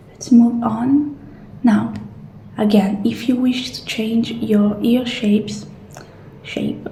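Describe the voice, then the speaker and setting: A woman doing a soft, partly whispered ASMR roleplay as a gentle attendant walking a client through options. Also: fairly high pitch. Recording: roomy echo, faint background noise.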